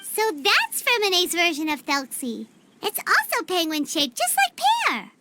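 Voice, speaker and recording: A woman voicing a small, excitable fairy girl companion in an anime-style game, childlike, squeaky and chatty. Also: measured pace, very noisy, exaggerated voice. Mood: curious. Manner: speaks of self in third person, chatty, expressive. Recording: music behind the voice.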